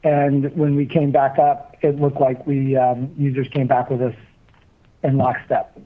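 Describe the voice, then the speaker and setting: A man with a medium-pitched voice answers as a finance executive on an earnings call. His delivery is conversational and halting, and the audio is narrow and phone-like. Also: flat delivery.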